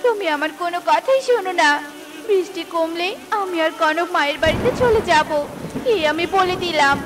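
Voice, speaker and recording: Cartoon crow wife woman performer, very high-pitched, demanding and scolding, with loud music underneath. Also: moderate pace. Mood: angry, insistent. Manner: scolding, insistent. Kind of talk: children's cartoon dubbing.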